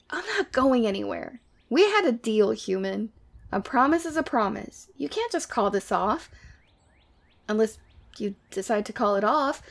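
Demon girlfriend played by a woman, ASMR audio drama: sweet but teasing, casual and playfully exasperated, very creaky delivery. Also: a little high. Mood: defensive, sulky.

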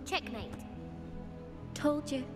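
A woman's voice: sits high, takes it slowly, over music. A woman playing a cocky, competitive teenage witch student in a video game, smug and taunting. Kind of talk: video game cutscene acting.

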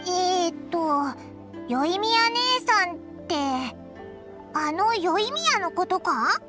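A woman voicing a small, childlike fairy companion in a video game, squeaky and expressive, with blunt, boyish phrasing. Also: takes it slowly, full character voice. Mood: puzzled. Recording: background music under the voice.

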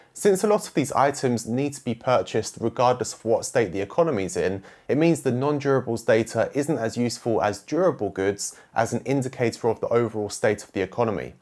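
Young male presenter, calm and measured, scripted explainer from a small home studio; even mid-range voice. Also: mid-range voice. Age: young adult. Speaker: finance educator presenting a video series.

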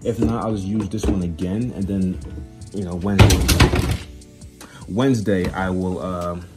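A man in his twenties chatting casually to camera in a slangy, halting way, recorded on a handheld phone indoors. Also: deep voice, steady tone, over loud music. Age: 20s. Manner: informal, slangy, halting, often says "man". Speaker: vlogger. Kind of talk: casual rambling chat to camera. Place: indoors at home, moving between rooms. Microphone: handheld phone at arm's length.

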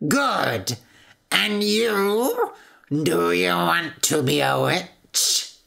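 A woman voicing a stern, menacing old witch who speaks in slow, drawn-out demands for a storybook tale. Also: fairly low pitch, big pitch swings, creaky, a bit bright, full character voice.

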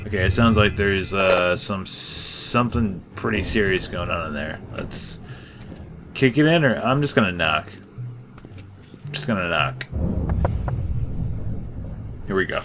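A man's voice: deep voice, animated, unhurried, pauses often. A man giving reactive let's play commentary, muttering and repeating himself as he plays. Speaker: gameplay commentator. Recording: commentary over loud game music.

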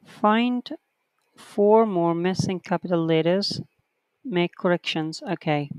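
Teacher reading exercises out loud real slow, counting off corrections, smooth voice. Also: mid-range voice, no room sound, poor audio. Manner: reads sentences out slowly and counts corrections aloud. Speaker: English writing teacher. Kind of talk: slow online lesson, reading a textbook aloud.

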